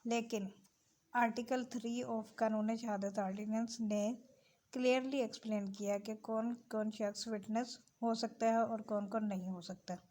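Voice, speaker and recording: Higher-pitched Pakistani woman explaining a lesson. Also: steady tone, unhurried, warm tone. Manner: mixes Urdu with English terms. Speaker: law lecturer.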